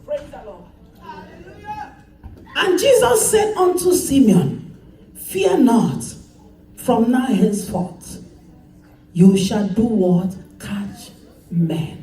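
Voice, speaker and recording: A woman's voice: fairly high pitch, very animated, takes it slowly, pauses often, mellow. A woman pastor preaching a sermon, calling on the congregation to repeat after her and driving points home with repetition. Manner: preaching with call-and-response, emphatic repetition. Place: church hall. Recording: echoey church hall, voice from far off.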